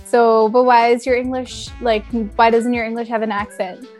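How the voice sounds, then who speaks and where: A woman's voice: on the high side, level tone, moderate pace, darkish tone, soft background music. A young woman from Thailand, a podcast guest talking casually with chatty, self-deprecating humor.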